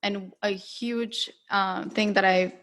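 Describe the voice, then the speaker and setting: A woman's voice: medium pitch, fairly even intonation, slow, fairly bright tone. A woman from Colombia hosting a casual interview, talking personally and hesitantly, often correcting herself as she goes. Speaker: interview host.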